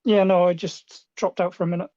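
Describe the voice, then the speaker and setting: A man's voice: sits high, level tone, a little fast, dark tone, dry recording, dull audio. A man co-hosting a casual chat, speaking bluntly and profanely in long rambles. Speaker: co-host.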